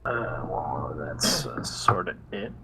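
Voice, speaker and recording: A man's voice: very deep voice, slow. A man chatting casually as a tabletop game player, hesitant and hedging as he talks. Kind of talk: casual chat. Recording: faint background noise.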